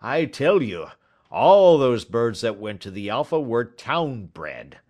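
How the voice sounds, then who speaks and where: Man voicing a gruff, blustering market salesman. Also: lowish voice, sing-song, slow. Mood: snappish, stubborn. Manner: blustering, snappish, emphatic. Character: gruff, hot-tempered cocksure market poultry salesman. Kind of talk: audiobook character dialogue.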